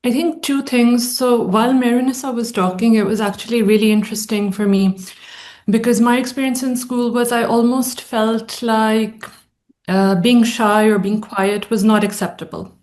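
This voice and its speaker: Woman, psychologist, panel guest, reflective, measured, pausing for words. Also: a little high, fairly even intonation, darkish tone. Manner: thoughtful, measured, searching for words.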